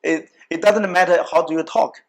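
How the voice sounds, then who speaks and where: Male talk show host, slightly high voice, emphatic and repetitive, casual opinionated delivery. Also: steady tone, normal speed.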